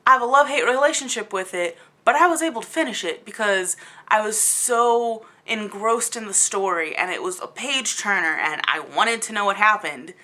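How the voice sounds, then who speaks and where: A woman's voice: medium pitch, lively intonation, moderate pace, pushed and bright. A woman giving a casual, opinionated review to camera as a book vlogger, talking in an emphatic, exasperated, conversational way.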